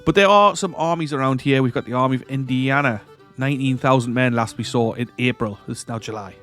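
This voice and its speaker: A man's voice: medium pitch, fairly quick, fairly bright tone, faint music behind. A man with a stuffy nose from a cold, giving casual commentary over a strategy game. He rambles, corrects himself and chuckles often. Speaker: strategy game let's-play host.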